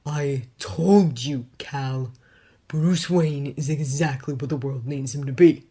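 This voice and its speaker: A woman voicing a stern, guarded wealthy man who talks in a clipped, hard, deadpan way. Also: rumbling low, slow pace, breathy edge, heavily acted. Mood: cold.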